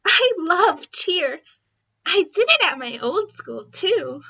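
A woman's voice: very high voice, sing-song, slow, gravelly, sharp tone, dull audio, full character voice. A woman playing an eager, friendly, clingy new girl on a cheer squad, with a perky pleading manner. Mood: cheerful.

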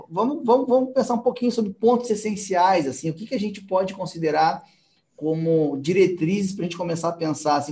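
A man's voice: on the high side, a little mellow. A man in his forties hosting a live video call, summing up points and inviting viewer questions, ending phrases with tag questions. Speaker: live show host. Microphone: headset over video call.

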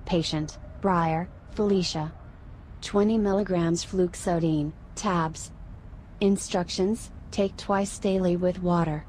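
An impersonal machine reader on a phone in a game. The voice is lowish, slow and deliberate, with faint noise behind it. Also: female voice, rough recording. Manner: flat, deliberate reading aloud. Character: phone text-to-speech assistant, impersonal female machine reader. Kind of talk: video game voice of a reading app.

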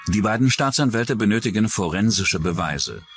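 A man with a low voice delivers scripted true-crime documentary narration. Music plays clearly underneath him throughout. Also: animated, moderate pace. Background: background music.

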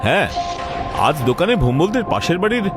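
A man's voice: fairly high pitch, fairly quick. A man voicing a nervous, superstitious village rice trader in a ghost cartoon. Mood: uneasy. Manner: anxious, animated. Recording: loud music over the voice.

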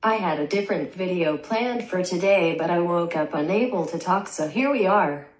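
A synthetic woman's voice reading a young vlogger's lines in a deadpan way, heard in a small bedroom through the camera mic. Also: lowish voice, normal speed, echoey room, rough recording. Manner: deadpan, dry jokes. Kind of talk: text-to-speech vlog narration.

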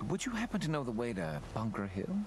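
A man's voice: mid-range voice, very animated, fast, close to an everyday voice. An actor playing a polite male traveler in a video game, asking the way. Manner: polite, formal. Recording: noisy game audio.

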